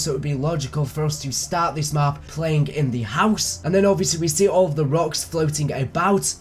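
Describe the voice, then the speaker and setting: A man's voice: fairly high pitch, slight background noise. A young man who is sick and whose voice sounds a bit off, hosting an eager, speculative breakdown of a game trailer. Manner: eager, speculative, talks things through. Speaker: YouTube gaming channel host.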